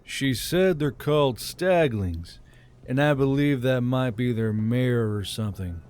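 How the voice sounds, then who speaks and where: A man's voice: mid-range voice, animated, a little slow, darkish tone, natural voice. A man voicing a commanding, good-humoured military warlord in an audiobook, terse but amiable.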